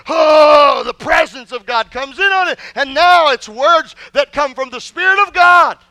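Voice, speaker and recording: A male pastor preaching a sermon to his church, emphatic, with folksy humor and bursts of shouting. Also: very high voice, unhurried, dry recording. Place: church sanctuary.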